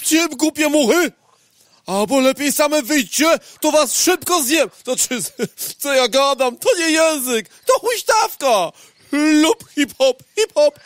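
A man's voice: very high voice, exaggerated voice. A man playing a gluttonous, menacing frog in a radio play, taunting and chanting as it hunts. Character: menacing, gluttonous, gloating frog hunting flies.

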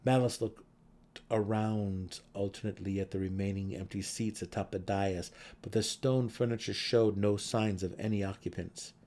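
A man giving a dramatic, theatrical reading of a fantasy novel as an audiobook narrator, with measured phrasing. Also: lowish voice, fairly even intonation, darkish tone.

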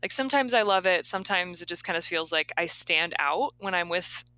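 A woman with a low voice, co-hosting a podcast in candid, rambling casual chat full of fillers. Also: lively intonation, brisk, pushed and bright, dry recording. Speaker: podcast co-host.

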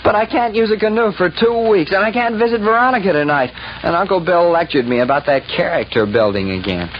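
Man acting an excitable high-voiced teenage boy. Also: a little fast, natural voice. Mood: glum, put-upon. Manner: exclamatory, put-upon. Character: excitable teenage boy at summer camp, easily exasperated. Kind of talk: 1940s radio comedy acting. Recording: noisy, muffled 1940s radio broadcast.

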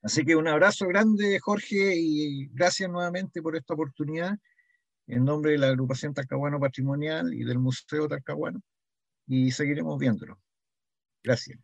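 A man's voice: a little high, normal speed, creaky, no room sound. A man speaking conversationally as an interview guest from a heritage association. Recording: dry but dull lo-fi sound.

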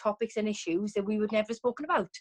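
A woman's voice: medium pitch, very fast. A woman talking casually as a guest over a video call, chatty, with frequent ums and restarts.

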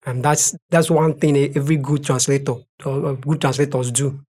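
Man, Nigerian accent, native Igbo and Pidgin speaker, medium pitch, emphatic, interview guest. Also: level tone, fairly quick, darkish tone. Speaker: translator, podcast guest. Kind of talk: interview answers.

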